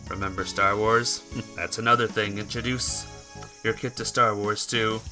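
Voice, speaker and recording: A man live-streaming a visual novel, reading the text aloud and breaking off for casual, halting asides to his viewers. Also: on the low side, fairly even intonation. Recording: music behind the voice.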